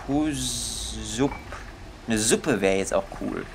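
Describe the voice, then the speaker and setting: A man's voice: medium pitch, slow pace, background noise. A young man giving casual gaming commentary in a grumbling, comically exasperated manner. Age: young adult. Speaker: let's player.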